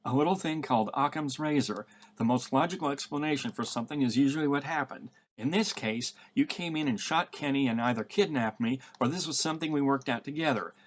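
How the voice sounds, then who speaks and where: A man's voice: mid-range voice, a little fast, few pauses, close to an everyday voice. A blunt, tough-talking woman on the run, read by a man in a storybook reading. She is exasperated and sarcastic, snapping out her points in a mid-range male register.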